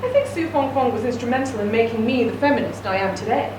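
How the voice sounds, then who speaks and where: A casual, sometimes hesitant Hong Kong woman meeting an old friend again, as a woman reader puts her on. Her voice sits fairly high and comes from a distance. The room is echoey and the recording noisy. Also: lively intonation, quick pace, lightly acted.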